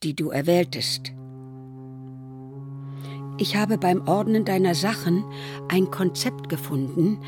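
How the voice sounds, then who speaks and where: An older actress playing a worried, disapproving mother in a radio play, reading a letter in a grave, admonishing way, with music behind. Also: deep voice. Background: instrumental music.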